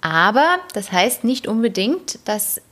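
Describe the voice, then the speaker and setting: A woman linguist answering interview questions in a thoughtful, hedging way, with soft laughs between answers. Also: medium pitch, big pitch swings, slow. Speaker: linguist guest. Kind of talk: conversational interview.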